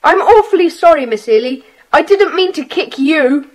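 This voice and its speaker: A woman's voice: high-pitched, animated, normal speed. A woman playing a hot-tempered, sulky young boy in an audio drama, blurting out the words. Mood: cross, sulky.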